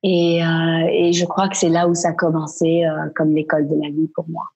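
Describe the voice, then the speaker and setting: A woman from Quebec answering interview questions casually and hesitantly, searching for words, with a husky voice. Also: low voice, completely flat delivery, moderate pace, mellow. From Quebec, Canada. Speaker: guest, street artist. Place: at home in her dining room.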